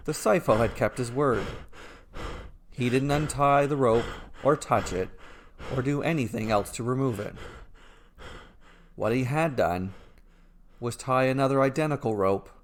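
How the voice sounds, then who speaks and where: A man narrating a scripted fairy tale as a storyteller, in a measured, deliberate way. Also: mid-range voice. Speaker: storyteller narrating his own fairy tales.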